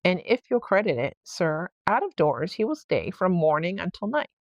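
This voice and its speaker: Give the storybook housekeeper as a woman reader acts her out a flustered, deferential manner. She explains things hesitantly and respectfully, puzzled and anxious. The voice has a creaky edge and sits close and dry. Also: fairly low pitch, very animated, moderate pace, no echo. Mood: earnest, bewildered. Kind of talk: storybook read-aloud character voice.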